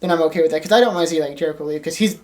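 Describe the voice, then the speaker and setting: A woman with a fairly low voice co-hosts a talk podcast. She speaks in a loose, informal, conversational way, dropping "like" often. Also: very fast, a little mellow. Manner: informal, frequent "like". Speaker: podcast co-host. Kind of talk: casual chat.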